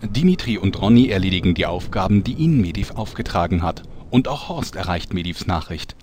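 Man as deep-voiced radio play narrator. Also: animated, brisk, darkish tone, slight background noise. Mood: composed. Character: composed storyteller of fantasy soap parody.

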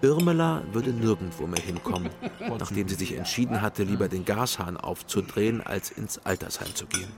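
Deep-voiced, laconic detective narrator. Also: male voice, animated, normal speed, over a noisy crowd. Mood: dry, reflective. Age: 30s. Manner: dry, reflective, laconic. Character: thirty-year-old sober, lonely private detective narrating. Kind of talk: scripted first-person radio drama narration. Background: music.

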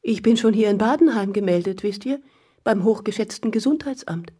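An actress in a German radio play playing a proud, weary patient with dignified irony. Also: female voice, medium pitch, lively intonation, fairly quick, dark tone, breathy edge. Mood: wry resignation. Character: proud, weary woman patient resigned to deportation.

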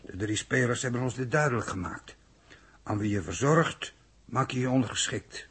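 An actor in a 1960s radio drama playing an earnest, confused and submissive man from an underground city, speaking hesitantly in a husky, gravelly voice. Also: medium pitch, lively intonation, normal speed, fairly bright tone. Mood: earnest, explaining. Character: thirsty, confused, submissive male city-dweller from a future underground society. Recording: old 1960s radio recording.

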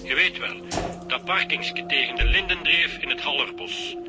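A man's voice: a little high, moderate pace, music in the background, close to an everyday voice. An actor playing an earnest local policeman phoning in a crime with a Flemish accent in a radio drama. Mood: urgent.

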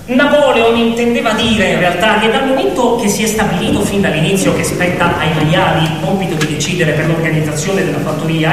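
A man's voice: sits high, slight background noise. A man delivering theatrical scripted narration in an ironic, insinuating manner, as an actor telling a story. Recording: echoey reverberant hall, distant, music behind.

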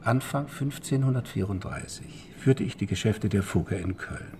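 An actor playing a shrewd, methodical former banker turned forger in a historical German radio drama, explaining deliberately with pointed pauses. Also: male voice, on the low side, mellow, a little hiss or hum, close to an everyday voice. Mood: secretive.

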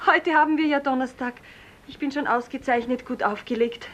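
An actress playing an obedient, flattering secretary in a deferential 1950s German radio drama style. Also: female voice, sits high, normal speed, a faint crowd. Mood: amused, flattering. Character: obedient, flattering female secretary to a tyrant boss. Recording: noisy, muffled vintage radio recording.

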